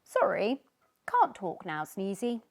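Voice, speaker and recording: A woman voicing dismissive storybook fish, brisk and evasive, full of excuses. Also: medium pitch, wildly swooping pitch, gravelly, heavily acted. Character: dismissive sea fish making excuses to avoid company.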